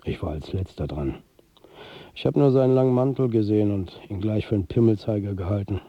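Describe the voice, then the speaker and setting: An actor playing a world-weary, sardonic football manager who narrates a radio drama in a husky, blunt, confiding way. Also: male voice, on the low side, a little fast, dry recording, highs cut off. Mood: wistful. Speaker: actor narrating as the lead character.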